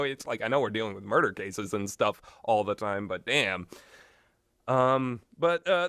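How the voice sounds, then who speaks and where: A man's voice: mid-range voice, a bit bright, clear, resonant tone, no room sound, studio quality. A man giving casual, rambling opinions as a video game commentator, blunt and casually profane.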